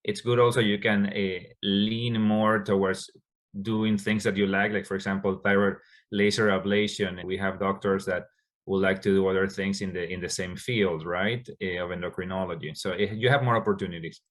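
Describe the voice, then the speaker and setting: A man from Ecuador, a Spanish speaker, answering interview questions as a doctor, halting with many fillers and restarts. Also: low-pitched, flat delivery, a bit bright. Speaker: endocrinologist guest.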